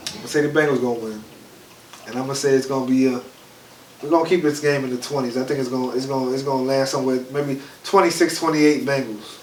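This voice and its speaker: A man's voice: mid-range voice, fairly even intonation, fast talker. A man with a stuffed-up nose, co-hosting a podcast in a laid-back, chatty way. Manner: laid-back, chatty, frequent "you feel what I'm saying". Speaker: podcast co-host. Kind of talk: casual podcast chat. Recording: echoey room, mic at a distance, faint noise.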